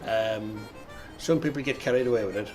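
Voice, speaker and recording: A middle-aged man giving a reflective interview, pausing and searching for his words. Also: fairly low pitch, over music. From Ireland. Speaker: local interviewee, Irish speaker.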